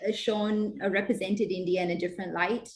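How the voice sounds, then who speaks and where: A woman's voice: medium pitch, steady tone, fairly quick, rough recording. Woman interviewer asking long questions. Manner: asks long, winding questions.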